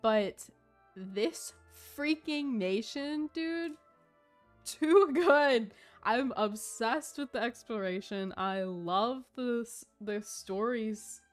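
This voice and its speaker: A woman's voice: on the high side, animated, drawn-out words, faint music behind. A woman giving casual live stream commentary on a game, chatting in a gushing, giggly, informal way.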